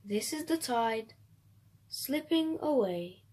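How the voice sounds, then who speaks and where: Slow, high-voiced woman storyteller. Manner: expressive, measured. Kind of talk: children's rhyming read-aloud.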